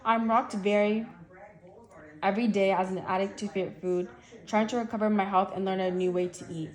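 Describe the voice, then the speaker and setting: Teen girl, medium pitch, kinda halting, trips over words a bit. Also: steady tone, low-quality recording. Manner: hesitant, stumbling over words. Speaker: guest reading an article aloud and answering questions. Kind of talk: halting read-aloud and shy interview answers.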